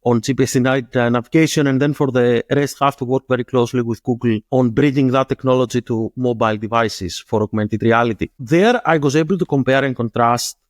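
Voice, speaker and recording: A man from Greece with a Greek accent, explaining things in an interview as a startup founder, often pausing and rephrasing himself. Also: medium pitch, dry recording, clean studio sound. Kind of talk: interview answers.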